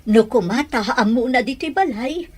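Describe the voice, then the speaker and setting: A woman playing a concerned, sympathetic mother in an Ilocano audio drama, with a husky voice. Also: fairly high pitch, expressive, normal speed. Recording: music behind the voice.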